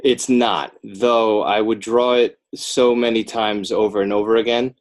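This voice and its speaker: An American man chatting casually as a podcast guest, often rambling, halting and correcting himself as he goes. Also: fairly low pitch, steady tone. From Northern New Jersey, United States. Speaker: podcast guest, children's book author. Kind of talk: casual podcast interview chat.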